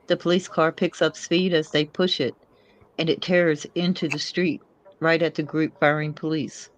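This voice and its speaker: A woman's voice: low-pitched, rough recording. A woman narrating screenplay action in a loose, informal way, sometimes stumbling over the script. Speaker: co-reader of screenplay.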